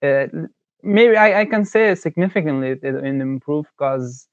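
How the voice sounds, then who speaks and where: Hesitant man, smooth voice, dry dull audio. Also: a little high, animated, normal speed, no room sound. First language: Persian. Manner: hesitant, many "uh" fillers. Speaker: English learner. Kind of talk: casual conversation.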